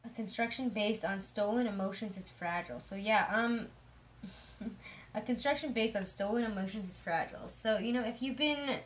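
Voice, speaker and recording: A young woman with a hoarse voice giving a chatty, rambling tarot reading, full of fillers. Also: medium pitch, moderate pace. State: hoarse from illness. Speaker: tarot reader. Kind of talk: casual tarot reading monologue.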